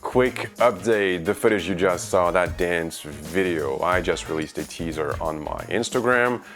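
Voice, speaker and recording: Male vlogger, low voice, presenting gear reviews over background music. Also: animated, a little slow. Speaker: video creator and reviewer. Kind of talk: product review vlog narration to viewers.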